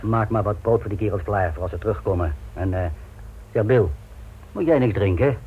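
A cautious innkeeper from a radio drama. The male voice sits fairly low with a husky grain, and the delivery is curt, practical and firm. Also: a little fast, phone-quality sound.

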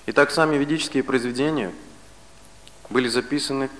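A man in his late thirties giving a philosophy lecture, speaking in a measured way with pauses and restarts. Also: mid-range voice, fairly bright tone, in a large hall, low-quality recording. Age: 30s.

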